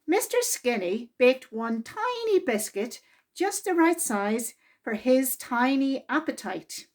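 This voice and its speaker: A woman reading a children's storybook aloud as a storytime reader, in a deliberate, expressive way meant for young listeners. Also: high-pitched.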